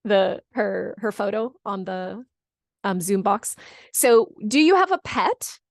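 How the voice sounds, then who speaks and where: A woman's voice: medium pitch, sing-song, slow, gravelly, no echo. Chatty middle-aged woman hosting, close dry sound. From California, United States. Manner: chatty, encouraging, repeats answers back. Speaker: webinar host. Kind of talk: casual moderated chat, reading out audience comments. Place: online video call.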